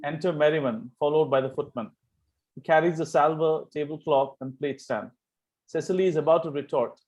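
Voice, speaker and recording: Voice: medium pitch, fairly quick, a little mellow, poor audio. A narrator reading out a play's stage directions in a scripted style at a table read.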